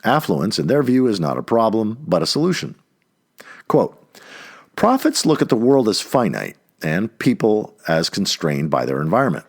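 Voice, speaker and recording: A man reading an essay aloud in an emphatic way, stressing key words, with a husky voice. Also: lowish voice, big pitch swings, normal speed. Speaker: reader of an essay. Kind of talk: read-aloud essay narration.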